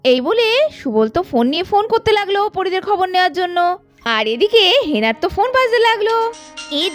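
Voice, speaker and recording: Very high-pitched woman storyteller. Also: big pitch swings, a little fast, soft background music. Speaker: storyteller narrating and voicing a doll story. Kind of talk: story narration with acted character voices.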